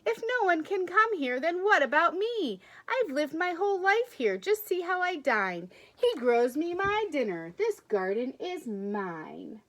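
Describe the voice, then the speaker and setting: A woman voicing a small male rabbit who squeaks and pleads his claim to the garden. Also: big pitch swings, a little slow, few pauses, fairly bright tone, full character voice. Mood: pleading.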